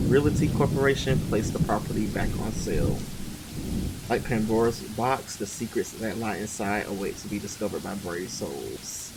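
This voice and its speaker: A man's voice: fairly low pitch, heavy background noise. A man streaming a horror visual novel, reading the narration and cracking loose, slangy jokes between lines. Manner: loose, joking asides with slang. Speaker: game streamer. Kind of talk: reading a visual novel aloud with casual commentary. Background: game music, rain and thunder.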